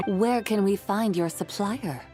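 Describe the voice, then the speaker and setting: An actress playing a solemn, logical, otherworldly young woman in a video game, speaking in a formal, deadpan, measured manner. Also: mid-range voice, close to an everyday voice. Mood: composed. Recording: music behind the voice.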